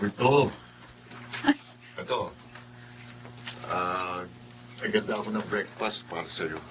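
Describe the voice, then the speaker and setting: Low-voiced charming male friend. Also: slow, noisy background. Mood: caring. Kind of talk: Filipino radio drama acting.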